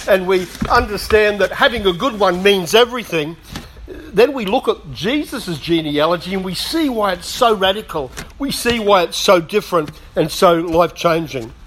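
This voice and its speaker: A middle-aged man preaching a sermon in a conversational way, with humorous asides, slightly hoarse as he is losing his voice. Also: sits high, fairly bright tone, slight background noise. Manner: conversational, self-deprecating humour, frequent asides. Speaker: pastor.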